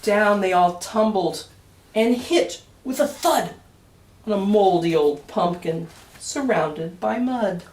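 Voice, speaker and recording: A woman reading a rhyming picture book aloud for children, expressively, with dramatic emphasis and deliberate pauses. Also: mid-range voice, expressive, a little mellow. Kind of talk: expressive storytime read-aloud of rhyming verse. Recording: roomy echo, distant mic.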